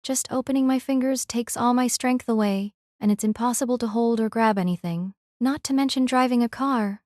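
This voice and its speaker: A woman playing a gentle, composed noble lady in an audio drama, speaking politely and reassuringly. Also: on the high side, fairly quick, no echo, studio quality, natural voice. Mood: apologetic, frank.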